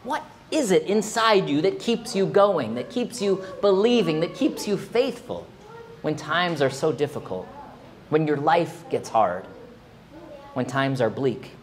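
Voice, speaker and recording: Expressive male pastor preaching a sermon. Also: unhurried. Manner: expressive, rising to emphatic high exclamations. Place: small church sanctuary, indoors.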